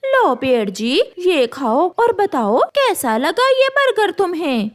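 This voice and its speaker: A woman's voice: very high voice, very animated, takes it slowly, pitched above the performer's own voice, exaggerated voice. A woman voicing a scheming, bossy female crow for a children's cartoon, sweet-talking and conniving. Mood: wheedling. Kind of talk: Hindi children's cartoon voice acting.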